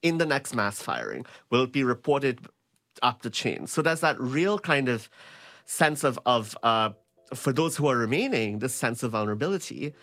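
Medium-pitched man, explanatory journalist. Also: sing-song, moderate pace, fairly bright tone. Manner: explanatory, often checks with "right?". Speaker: journalist, panel guest. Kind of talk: analytical spoken commentary.

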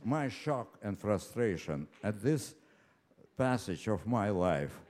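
A man of ninety-nine from Ukraine with a Russian accent, telling his own story live in a halting, deliberate way with repeated words and pauses. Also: fairly low pitch, big pitch swings, noisy background. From Ukraine, later Moscow. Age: 99, nearly one hundred. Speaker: personal storyteller. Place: live stage before an audience.

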